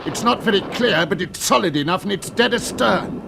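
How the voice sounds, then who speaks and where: Incredulous spaceship radio operator, vintage British radio drama. High, very animated delivery, noisy old recording. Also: male voice, normal speed, gravelly. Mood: alarmed. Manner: incredulous, excitable.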